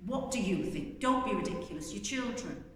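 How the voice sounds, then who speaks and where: A woman's voice: on the high side, animated, normal speed, fairly bright tone. A brusque, busy fishing boat skipper who talks curtly and impatiently, as a woman reading a storybook puts him on. The voice echoes around a large hall from a distant mic. Faint noise runs underneath.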